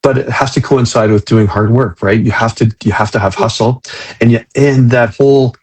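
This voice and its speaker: A man hosting a conversational interview, speaking in a thoughtful, halting way and repeating words as he goes. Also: mid-range voice, steady tone, a little mellow, husky. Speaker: interview host, head of a photographer network.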